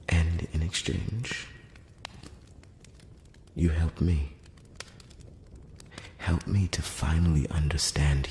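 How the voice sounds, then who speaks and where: A man playing a courteous, curious elf prince in an intimate ASMR fantasy roleplay, speaking softly and formally with some hesitation, close to the mic. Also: very deep voice, exaggerated voice. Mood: earnest, persuasive. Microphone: close-up microphone. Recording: roomy long reverb, background hiss.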